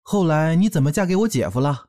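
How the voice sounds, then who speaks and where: A man's voice: a little high, lively intonation, quick pace, music in the background, close to an everyday voice. A man playing a lovesick, indecisive young orc rogue, a hesitant and earnest little brother, in an audio drama. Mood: curious.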